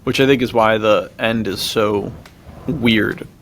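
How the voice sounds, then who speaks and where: A man's voice: fairly low pitch, slow, fairly bright tone, slight background noise. A man co-hosting a podcast, talking through films in a laid-back, slangy, casual way. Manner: laid-back slangy talk, laughs easily. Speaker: podcast co-host. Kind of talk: casual film discussion, chatty retelling of plots.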